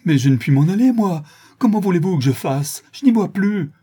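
A man's voice: on the high side, lively intonation, moderate pace, mellow, slightly rough, voice pushed up. A man voicing a brutal brigand who has been blinded, exclaiming and pleading in a storybook reading. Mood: desperate. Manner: exclamatory, pleading, repeating words in anguish. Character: brutal male brigand, newly blinded, raging then pitifully despairing.

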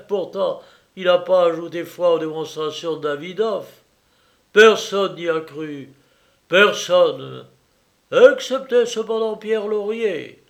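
A man's voice: high-pitched, voice pushed up. A man doing a bitter, feverish young man. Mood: mocking. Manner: vehement, sardonic.

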